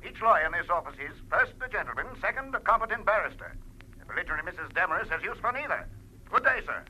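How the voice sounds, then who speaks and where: An actor playing a haughty, curt senior lawyer in a 1940s radio drama, speaking in clipped, formal phrases as if over a telephone. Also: male voice, high voice, very animated, fast, sharp tone, full character voice. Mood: indignant, haughty. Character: haughty, curt male senior law partner. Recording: noisy old radio recording.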